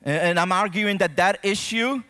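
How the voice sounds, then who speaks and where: Male preacher from Morocco, slightly high voice, conversational sermon delivery, big reverberant hall. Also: normal speed, sharp tone, clear, resonant tone. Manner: conversational, addresses listeners directly with check-in questions. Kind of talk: sermon to a congregation. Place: church auditorium, speaking to a congregation.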